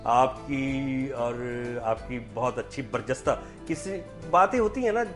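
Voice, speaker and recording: A man appearing as a guest on a television chat show, chatting casually in a joking, animated way. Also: mid-range voice, a little slow, faint music behind. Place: TV studio.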